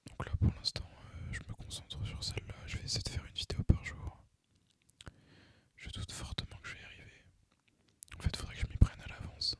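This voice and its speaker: A young man whispers in a casual, hesitant, unhurried ASMR ramble as a gaming channel host. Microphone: microphone recorded through a phone, voice-over on gameplay.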